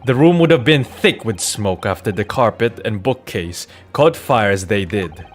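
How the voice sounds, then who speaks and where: A man's voice: lowish voice, expressive, normal speed, faint music behind. A man doing a theatrical, articulate read-through of courtroom video game dialogue. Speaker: video game streamer reading dialogue aloud.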